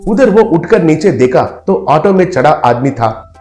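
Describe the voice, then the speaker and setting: High-voiced male storyteller with echo. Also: sing-song, measured pace, a little mellow, faint music behind. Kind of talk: scripted comic story narration.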